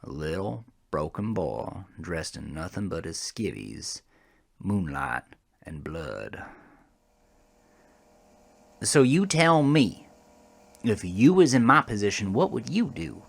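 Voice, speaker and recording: Man acting a slow-talking, shaken American trucker. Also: deep voice, big pitch swings, gravelly, husky, exaggerated voice. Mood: defensive. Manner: folksy, coarse, rambling storytelling. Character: shaken, defensive trucker confessing a horrifying roadside encounter. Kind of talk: dramatic first-person horror audio monologue.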